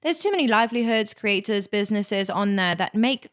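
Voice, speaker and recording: A woman's voice: medium pitch, animated, brisk. A young woman co-hosting a podcast, chatting casually with her husband in a playful, teasing way. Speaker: podcast co-host. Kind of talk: casual chat.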